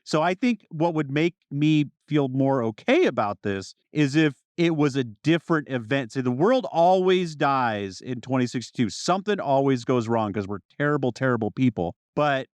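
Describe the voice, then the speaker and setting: A man's voice: mid-range voice, animated, normal speed, hardly any pauses, no room sound. A man co-hosting a podcast, talking through ideas in a casual, informal way. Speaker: podcast co-host.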